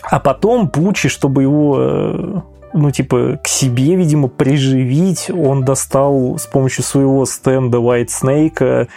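A man's voice: mid-range voice, unhurried, dark tone, faint music behind. A man co-hosting a casual podcast, chatting about anime with wry, sweary asides and lots of fillers. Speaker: podcast co-host.

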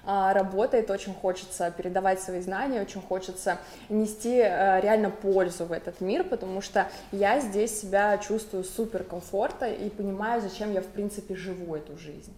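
A woman guest on a talk show, introducing herself in a playful, relaxed way. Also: mid-range voice, moderate pace, echoey room, a little hiss or hum. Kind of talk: casual self-introduction.

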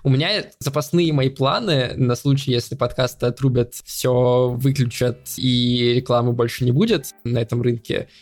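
A young man as a podcast guest, explaining his plans at length in a measured, casual way. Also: medium pitch, steady tone, soft background music. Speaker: podcast platform editor-in-chief, guest.

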